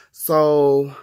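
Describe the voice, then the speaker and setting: A man's voice: fairly high pitch, darkish tone. A man in his thirties chatting casually to the camera in a wry, profane, chatty way. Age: 30s. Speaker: vlogger.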